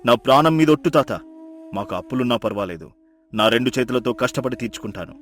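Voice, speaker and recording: A man's voice: medium pitch, quick pace, fairly bright tone, close to an everyday voice. Man acting an earnest, humble village fisherman. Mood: firm, indignant. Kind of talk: animated moral folktale acting. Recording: music behind.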